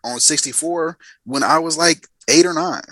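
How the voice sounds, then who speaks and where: Emphatic man in his thirties, bright. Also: on the high side, moderate pace, poor audio. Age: 30s. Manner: emphatic, repeats lines for effect. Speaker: podcast guest. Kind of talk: animated storytelling in casual chat.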